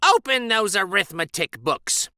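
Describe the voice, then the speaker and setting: A man voicing a scary, strict witch of a schoolteacher, hissing and snapping menacing drawn-out commands in a children's storybook. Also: high voice, very animated, bright, forceful tone, dry recording, studio quality, voice pushed up, exaggerated voice.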